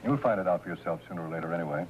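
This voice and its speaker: An actor playing a frank, well-off employer in a 1950s crime drama, deliberate and tactfully businesslike. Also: male voice, low voice, natural voice. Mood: frank, concerned. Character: measured, frank, well-off husband hiring a chauffeur. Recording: dull, noisy old film soundtrack.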